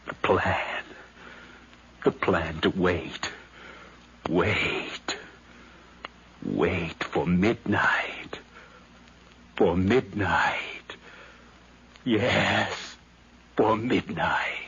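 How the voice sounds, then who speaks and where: An actor in a 1950s radio drama playing an anxious, murderous nephew, with a hushed, slow, trembling delivery. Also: male voice, low-pitched, vocal fry, husky, dull audio, full character voice. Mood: tense, brooding. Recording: noisy 1951 radio recording.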